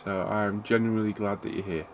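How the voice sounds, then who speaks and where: A man's voice: on the low side, fairly even intonation, brisk. A middle-aged man, a little bunged up from a cold, chatting easily with viewers on his live stream. He greets people by name and talks in an everyday tone. His desk mic picks him up in a home hobby room, with a faint hiss behind him. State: congested, getting a cold. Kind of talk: casual live stream chat.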